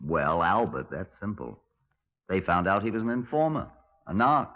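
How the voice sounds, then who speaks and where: An actor playing a world-weary middle-aged police superintendent in a 1950s radio crime drama, speaking in a dry, deliberate, formal way. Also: male voice, low-pitched, sing-song. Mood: musing. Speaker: detective and narrator. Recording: muffled, narrow-band 1950s radio recording.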